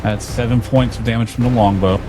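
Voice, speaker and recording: A man with a lowish voice talks casually as a tabletop game player. Music plays clearly behind him. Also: steady tone, fairly quick.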